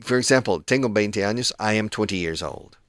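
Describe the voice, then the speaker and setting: Deep-voiced man teaching English on radio. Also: fairly quick. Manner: patient, explanatory, switches between two languages. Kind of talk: radio English lesson, explaining phrases.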